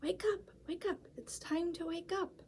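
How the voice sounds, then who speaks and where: A woman voicing a calm grown-up mouse who explains things in a soft, half-whispered, sing-song way. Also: extremely high pitch, moderate pace, voice pushed up. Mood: patient.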